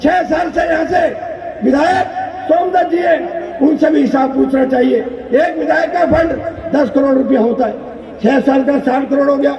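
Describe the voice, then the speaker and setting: A man giving a loud, declamatory campaign speech as a politician, using repeated phrases and rhetorical questions. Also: extremely high pitch, moderate pace, highs cut off, some chatter behind. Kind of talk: political rally oratory. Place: large public political gathering. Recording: echoey PA at crowded rally, distant mic.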